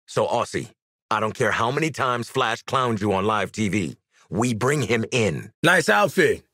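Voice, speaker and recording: A man with an American accent voicing a cocky, sardonic marksman assassin in a video game, streetwise and wry, with a gritty, husky voice. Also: mid-range voice, sharp tone, no room sound, studio quality, heavily acted. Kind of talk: video game combat barks and banter.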